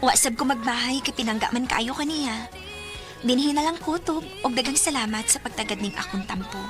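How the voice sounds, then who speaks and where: Woman acting a high-voiced, gentle teenage schoolgirl. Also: fairly quick, over music, lightly acted. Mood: warm, narrating. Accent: Cebuano. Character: teenage schoolgirl, gentle, dutiful, playfully frank. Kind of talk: radio drama acting.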